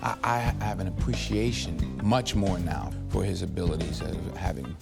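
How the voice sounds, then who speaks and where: A man's voice: deep voice, normal speed, creaky, over loud music. A man interviewed for a film feature, speaking earnestly and often repeating words as he thinks. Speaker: actor interviewee. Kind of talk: behind-the-scenes interview.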